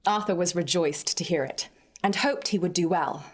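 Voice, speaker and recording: A woman's voice: lowish voice, normal speed. A woman narrator giving a scripted reading of literary fiction.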